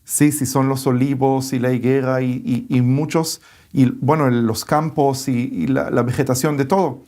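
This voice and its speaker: Man, explaining at a steady pace. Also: medium pitch. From Israel. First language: Hebrew. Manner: unhurried, pauses often with filler sounds while searching for words. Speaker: guest, tour guide and Bible teacher. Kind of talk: explanatory interview talk.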